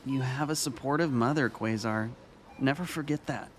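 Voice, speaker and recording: A man playing a gentle, polite former school vice-principal in an audio drama, with courteous, formal and caring phrasing. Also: medium pitch, moderate pace, a little hiss or hum, natural voice. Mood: warm, attentive. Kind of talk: audio drama acting of fan fiction.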